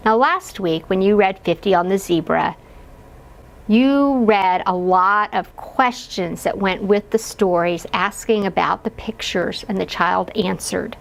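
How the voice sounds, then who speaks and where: A woman's voice: mid-range voice, unhurried, creaky. A woman educator giving instructional guidance to parents, explaining and modeling simple questions as if for a young child. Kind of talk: instructional read-aloud guidance.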